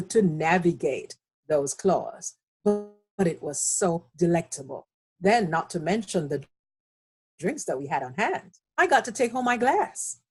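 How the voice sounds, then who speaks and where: A woman with a Jamaican accent giving an impromptu speech on an online call, slow and savoring in her descriptions. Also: mid-range voice, darkish tone, breathy edge. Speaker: Toastmasters speaker. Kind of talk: impromptu table topics speech. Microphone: online video call.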